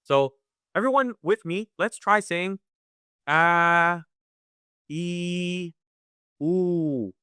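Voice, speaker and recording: Bright, clear-voiced man teaching recitation. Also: sits high, no room sound, clean studio sound. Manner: conversational, checks in with "right?" often; demonstrates recitation sounds slowly. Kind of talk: live webinar lesson.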